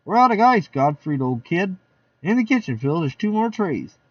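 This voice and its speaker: A man voicing loud, rowdy party guests at a backyard barbecue. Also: high voice, big pitch swings, normal speed, no room sound, recorded from a distance, muffled recording, voice pushed up.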